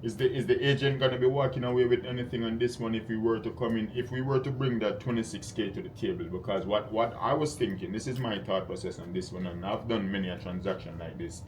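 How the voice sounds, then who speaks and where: A man's voice: fairly low pitch, fairly even intonation, fast, hardly any pauses, poor audio. A man on a sales call as an investor, talking in a direct, probing, conversational way and explaining his thinking. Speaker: real estate investor cold calling. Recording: clear background noise on call recording.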